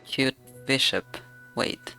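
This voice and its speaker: A man's voice: slow, bright, forceful tone, rough recording. A man giving casual reaction commentary as a streamer playing a video game, with music behind him.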